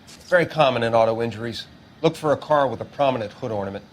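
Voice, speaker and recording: A man's voice: medium pitch, natural voice. Guy acting as a coroner in a detective game, matter-of-fact, medium voice, little bit of noise. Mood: clinical. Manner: measured, clinical. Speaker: coroner character. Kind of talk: video game dialogue.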